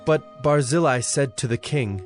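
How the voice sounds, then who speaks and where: Male scripture narrator over soft music. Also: mid-range voice, unhurried.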